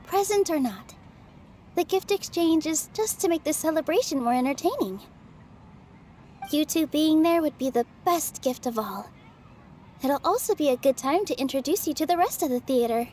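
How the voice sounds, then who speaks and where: A kind, self-effacing young dancer in a video game. Her voice sits high and light, and she speaks softly and politely. Game music runs underneath. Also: expressive, normal speed, long pauses, a little hiss or hum. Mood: gentle. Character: gentle young female dancer, kind and modest. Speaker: game character.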